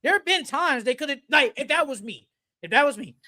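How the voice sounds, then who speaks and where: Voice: high voice, animated, fairly quick, sharp tone. A sports podcast co-host in a casual basketball debate, speaking in an emphatic, opinionated, slangy way.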